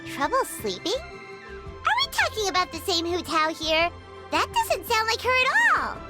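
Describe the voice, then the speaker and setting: A woman voicing a small, excitable, childlike fairy companion in a video game, chirpy, cheerful and curious. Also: very high voice, measured pace, over loud music, full character voice. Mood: puzzled. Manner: exclamatory, chirpy, refers to herself by name. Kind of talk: video game character dialogue.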